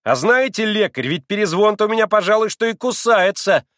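A man's voice: sits high, animated, a little fast, fairly bright tone, no echo, studio quality, voice pushed up. A man voicing a proud, hot-headed teenage boy who talks brashly and emphatically.

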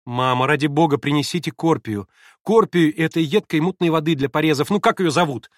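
A man reading aloud, voicing an excitable, imperious young invalid girl who gives urgent commands. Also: fairly high pitch, brisk, no echo, studio quality, voice pushed up, close to an everyday voice.

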